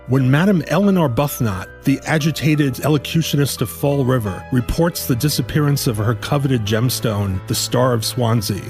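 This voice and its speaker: A man's voice: medium pitch, normal speed, hardly any pauses, a little mellow. Male podcast announcer over guitar music. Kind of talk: dramatic scripted promotional introduction.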